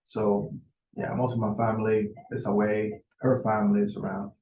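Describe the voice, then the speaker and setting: A man's voice: fairly low pitch, level tone, normal speed, dark tone, echoey room, low-quality recording. Hesitant Venezuelan-born man on a video call. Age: 40s. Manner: hesitant, many fillers. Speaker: client on a sales call. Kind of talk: casual conversation.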